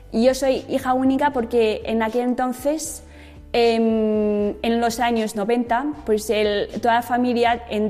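A woman's voice: fairly high pitch, darkish tone, in a large hall. A woman in her thirties from China, speaking Spanish with a Chinese accent, telling her life story hesitantly with many fillers. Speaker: interviewee giving a testimony.

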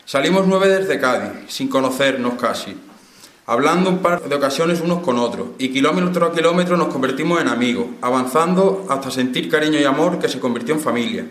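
A man's voice: medium pitch, animated, fairly quick, a bit bright, reverberant. A man, an inmate, reading a solemn, earnest reflection aloud. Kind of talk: read reflection.

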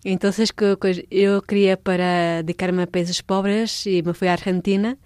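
A Portuguese-born religious sister speaking Spanish as a guest in a radio interview, pausing often and restarting her words. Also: medium pitch, flat delivery, a little mellow, smooth, dry recording, clean studio sound. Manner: halting, searching for words, many restarts.

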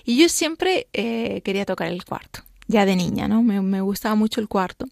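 Spanish pianist with a faint Italian lilt. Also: female voice, mid-range voice, animated, normal speed, vocal fry, a little mellow, no room sound, clean studio sound. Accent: slight Italian lilt on Spanish. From Spain. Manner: thoughtful, explaining with many fillers and "¿no?". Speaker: guest pianist. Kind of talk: radio interview chat. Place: radio studio.

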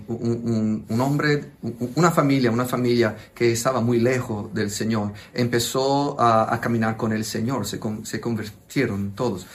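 Italian priest in halting Spanish. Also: male voice, mid-range voice. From Italy. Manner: hesitant, searching for Spanish words. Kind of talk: radio interview testimony.

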